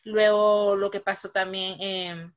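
A woman's voice: medium pitch, little pitch movement, slow. A young woman from Nicaragua with a Nicaraguan accent, sharing personal testimony as an online panelist in an emphatic, conversational way. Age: young adult. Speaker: webinar panelist.

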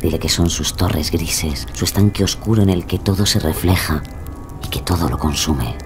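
An actor voicing a sinister, insistent unseen presence in a Gothic audio drama, hushed and ominous. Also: male voice, sits low, moderate pace, music in the background. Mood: urgent, ominous.

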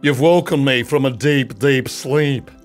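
A man's voice: medium pitch, unhurried, faint music behind, close to an everyday voice. A man voicing a grumpy, sarcastic genie of the lamp in an emphatic children's storytelling style.

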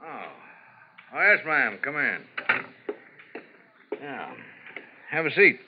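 An actor playing a folksy, slightly hesitant police detective in a 1950s radio drama. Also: male voice, fairly high pitch, very animated, slow pace. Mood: calm, questioning. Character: businesslike male small-city police detective. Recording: muffled, hissy old radio broadcast.

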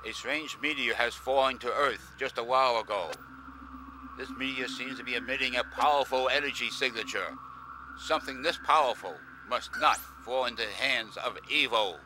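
An elderly, kindly scientist from a game cartoon, acted by a man. He is grave and measured, with a mid-pitched, forceful voice over a faint background rumble. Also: natural voice, rough recording. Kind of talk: animated game cutscene dub.

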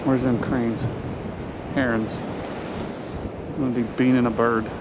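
Gravelly man narrating a fishing trip. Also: mid-range voice, slow. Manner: relaxed, talking to himself while fishing. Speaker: fishing vlogger. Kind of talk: casual first-person commentary. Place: outdoors on an ocean fishing pier. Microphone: action camera worn on the body. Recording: muffled action-camera audio with wind and surf.

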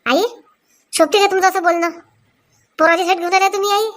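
A woman's voice: extremely high pitch, fairly even intonation, fairly quick, a bit bright. A woman voicing a quarrelsome young daughter-in-law in a comic cartoon, complaining combatively. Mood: angry, accusing.

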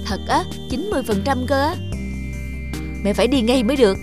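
A woman voicing a shrewd, playful mother in a cartoon, animated and teasing. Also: high-pitched, brisk. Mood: feigned excitement. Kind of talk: cartoon dubbing. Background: music.

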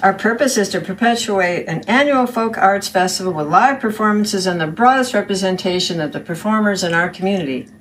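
A woman in her sixties presents a scripted promotional message. Her pitch is average, and the camera recording carries a little noise and room ambience. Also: fairly quick, hardly any pauses, low-quality recording. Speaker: festival past president. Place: indoor room by a large window.

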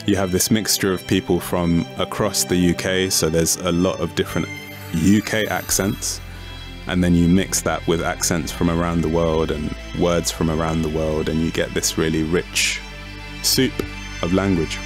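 Poet, male, very low voice, reflective and searching, soft music under. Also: flat delivery, normal speed. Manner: thoughtful, with restarts and fillers. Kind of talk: reflective interview answers.

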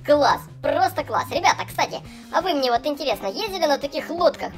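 Man putting on an excitable, high-pitched cheerful clown. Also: fairly quick, heavily acted. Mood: excited. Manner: gushing, exclamatory, chatty. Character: cheerful young male clown entertaining small children. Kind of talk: excitable children's gameplay narration. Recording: voice over background music. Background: music.

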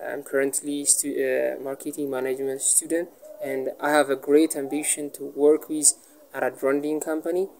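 A man's voice: on the high side, level tone, moderate pace, creaky. A man from Ethiopia, a marketing student, formally introducing himself in a self-recorded video. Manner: formal, grateful. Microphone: phone at arm's length. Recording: noisy phone clip.

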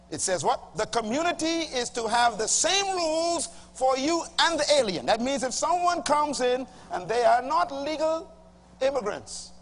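A middle-aged Bahamian man preaching a sermon to a congregation, emphatic, swinging from loud bursts to low asides. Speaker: pastor. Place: large church auditorium with a congregation. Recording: reverberant hall, faint hum.

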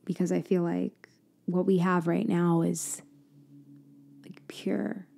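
A woman speaks slowly and softly, as in an intimate ASMR girlfriend roleplay, her voice lowish and edged with vocal fry. She drifts between a hushed murmur and a breathy whisper. She pauses, trails off and restarts her thoughts. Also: little pitch movement.

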